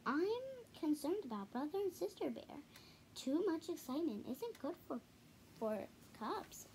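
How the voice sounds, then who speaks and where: A girl of about ten to twelve with a fairly high voice reads a picture book aloud. She goes haltingly, repeating words and stepping out for casual comments. It is a home camera recording in a living room, with some noise in the background. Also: very animated, a little mellow, poor audio. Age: child, about ten to twelve. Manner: halting reading with stumbles and repeats. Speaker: storybook reader.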